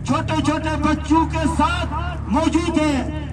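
A man, a local community leader, giving a slow, measured protest speech into a handheld microphone outdoors by a road. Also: very high voice, fairly even intonation. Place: outdoors on a roadside in the cold. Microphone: handheld microphone through a loudspeaker. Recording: loud street noise outdoors.

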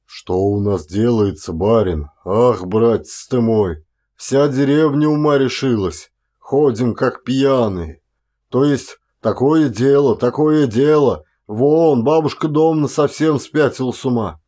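A man's voice: mid-range voice, takes it slowly, mellow. A man voicing a garrulous, indignant Siberian peasant in an audiobook, with folksy, exclamatory, rambling talk. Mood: agitated, bewildered. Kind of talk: one-actor audiobook character acting.

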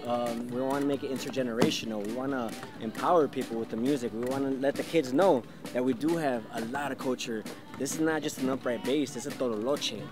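A man's voice: mid-range voice, a little fast. A young man in a band, chatting casually about the group's music on an outdoor basketball court. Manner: relaxed, conversational, switches into Spanish. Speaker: band bass player. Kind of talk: casual interview chat. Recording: loud band music in background.

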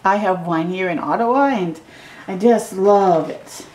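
A middle-aged woman reading a picture book aloud to children at home, slowly and gently, with excited asides to the viewer. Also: medium pitch, roomy sound, rough recording. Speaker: storytime reader. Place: living room at home.

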